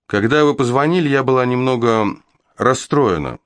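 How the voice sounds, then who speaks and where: A man's voice: medium pitch, moderate pace, fairly bright tone, dry recording, pitched above the performer's own voice, close to an everyday voice. A man voicing a self-assured, teasing young woman cellist in an audiobook, with frank delivery. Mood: frank, open.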